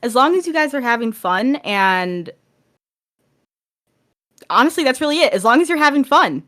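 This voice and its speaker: A woman's voice: sits high, big pitch swings, moderate pace, a bit bright. Emphatic, encouraging woman on a podcast. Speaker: podcast guest. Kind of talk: casual podcast discussion.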